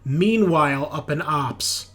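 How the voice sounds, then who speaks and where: A man's voice: on the high side, slow, fairly bright tone. A man running a sci-fi horror tabletop game as game master, narrating scenes descriptively and swearing casually. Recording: faint background music.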